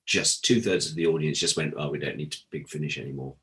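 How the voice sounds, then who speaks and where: Deep-voiced man telling candid anecdotes. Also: fast, a bit bright. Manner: candid, acts out quoted conversations in anecdotes. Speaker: interview guest, former audio drama producer. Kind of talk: animated anecdotal interview talk.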